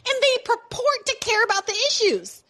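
A woman hosting a political talk podcast, animated and sarcastic, building into quick rants. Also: extremely high pitch. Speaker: podcast host.

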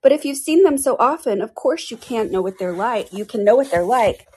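A woman reading a storybook as a mournful, excitable male turtle creature with a solemn, emphatic delivery. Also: on the high side, a little fast, breathy edge, voice pushed up. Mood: earnest.

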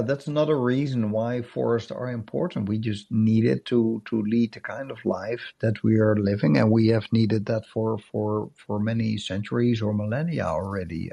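A man with a low, gravelly voice that turns husky as he starts losing it. He talks in halting, looping sentences, repeating words while he gathers his thoughts. His tone is dark and muffled. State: losing his voice, clearing throat. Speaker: podcast co-host. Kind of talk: casual podcast chat. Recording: call-in app audio.

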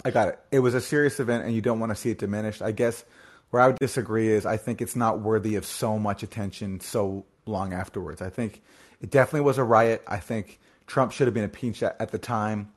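A man hosting a call-in show, answering callers in a measured, deliberate way, point by point. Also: fairly low pitch, fairly even intonation. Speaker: show host.

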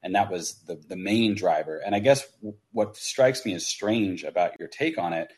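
Low-voiced man calling in. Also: darkish tone. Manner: measured, hedging with "sort of". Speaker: caller. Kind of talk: call-in talk show.

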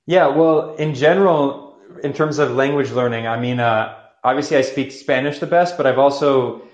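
A young man hosts a call-in show in an easy, rambling way. He stops and restarts often while he gathers his thoughts, and the room gives his voice a clear echo. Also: mid-range voice, moderate pace, dull audio. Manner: rambling, thinks aloud with many fillers. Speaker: podcast host taking calls. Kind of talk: casual call-in chat. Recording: roomy echo.

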